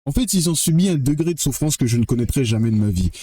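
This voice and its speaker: A man's voice: mid-range voice, expressive, quick pace, warm tone. A young man from French Guiana giving a blunt, emphatic opinion monologue to the camera, swearing freely and often repeating or restarting his phrases. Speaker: vlogger.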